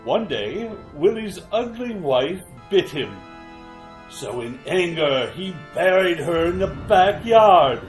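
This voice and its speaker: A man playing a gleeful, ghoulish horror host in a retro radio drama, theatrical and drawn-out with mock courtesy. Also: high-pitched, sing-song, reverberant, faint music behind, full character voice. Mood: gleefully macabre.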